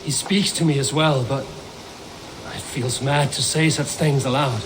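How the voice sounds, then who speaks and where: A man's voice: medium pitch, fairly even intonation, slow pace, noisy background, lightly acted. A man playing a stoic, loyal Viking clan leader in a video game, speaking plainly and with restraint. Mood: reserved, earnest. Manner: restrained, plain-spoken, earnest. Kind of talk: dramatic video game acting.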